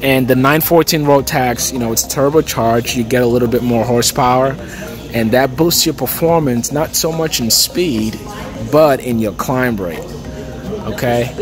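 A man giving a casual, relaxed walkaround tour as a vlogger, filling pauses with "okay" and "uh", recorded on a handheld phone in a big indoor hangar. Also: medium pitch. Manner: relaxed, chatty, lots of "okay" and "uh". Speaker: aviation vlogger. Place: large aircraft hangar at an expo, indoors. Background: music and crowd chatter.

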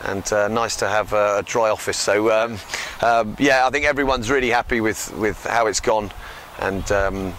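A man in his thirties, a rugby coach, giving an earnest, halting post-match interview outdoors at a rugby ground. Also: lowish voice. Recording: loud wind noise outdoors.

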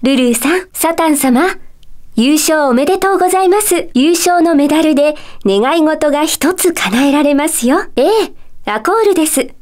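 A gentle female teacher of magic from a puzzle video game. Her voice is very high, and she speaks with courteous, composed phrasing. There is a calm air of mystery to her kindly manner. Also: normal speed. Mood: encouraging, composed. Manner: polite, soft feminine phrasing, composed and kindly. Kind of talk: Japanese video game character dialogue.

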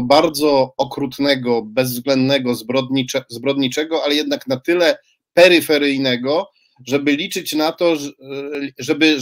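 A middle-aged man putting a long interview question on a video call, halting and repeating words as he goes. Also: on the high side. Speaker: interviewer, journalist.

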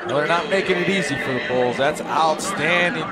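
A man giving color analysis of a football game, conversational and halting, often repeating words as he thinks. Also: fairly high pitch. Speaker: color commentator. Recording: broadcast with crowd noise behind.

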